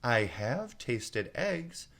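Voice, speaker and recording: A man's voice: medium pitch, big pitch swings, drawn-out words, gravelly, fairly bright tone, voice pushed up, exaggerated voice. A man voicing an earnest, bewildered little girl in a storybook, protesting politely and indignantly. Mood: doubtful, earnest. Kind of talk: storybook read-aloud character voice.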